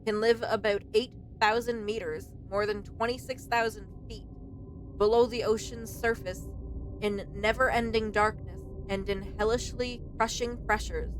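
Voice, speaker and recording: A woman's voice: mid-range voice, steady tone, unhurried, noisy background. A young woman doing casual let's play commentary, reacting informally and reading on-screen text aloud. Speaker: streamer playing and reacting to a game.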